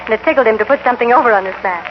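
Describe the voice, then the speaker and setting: A woman's voice: a little high, racing, natural voice. A woman acting an earnest, anxious young American ranch woman in a 1950s radio western. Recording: tinny, muffled, hissy old radio broadcast.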